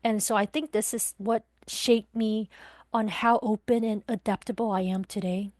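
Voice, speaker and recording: A woman's voice: mid-range voice, steady tone, normal speed, darkish tone, no echo. A woman in her twenties from Taiwan, a guest talking thoughtfully with some hesitation about growing up. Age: 20s. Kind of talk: reflective interview conversation.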